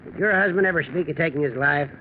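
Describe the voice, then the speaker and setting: A formal male coroner played by an actor with a rather high voice, probing in 1940s radio style. The old recording is muffled with light noise. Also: quick pace, natural voice. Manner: formal, inquiring.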